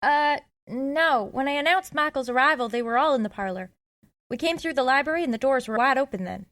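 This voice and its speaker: An actress playing a naive, puzzled young maid, eager to help, in an audio comedy drama. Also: female voice, high-pitched, brisk, fairly bright tone, no room sound. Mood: earnest.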